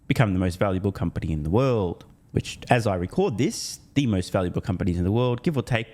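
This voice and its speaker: A man's voice: fairly low pitch, lively intonation, quick pace, a little mellow. A man presenting stock news as a video creator, in scripted narration with casual asides and an emphatic, bullish delivery.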